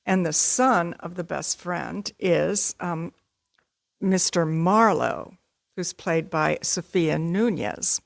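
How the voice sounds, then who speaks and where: A woman's voice: on the low side, slow, creaky. A woman, a community theatre actor, explaining things conversationally as an interview guest.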